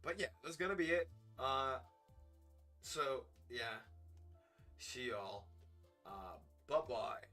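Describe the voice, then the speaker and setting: Hoarse sick streamer chatting casually, cusses a lot, bouncy pitch. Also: animated, takes it slowly, long pauses, pushed and bright, soft background music. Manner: casual, swears freely. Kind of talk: casual game stream commentary.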